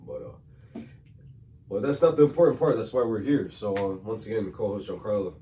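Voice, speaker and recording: A man hosting a casual podcast, hoarse from a cold, loose and slangy, repeating himself as he talks. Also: on the low side, lively intonation, brisk, dark tone. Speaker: podcast host. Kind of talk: casual chat. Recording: distant mic with faint room noise.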